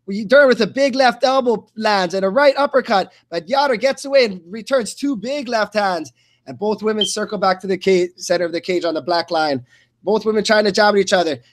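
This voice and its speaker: High-pitched man calling a fight, stumbling. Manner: halting, repeats words and stumbles mid-phrase. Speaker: play-by-play commentator. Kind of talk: live blow-by-blow sports commentary.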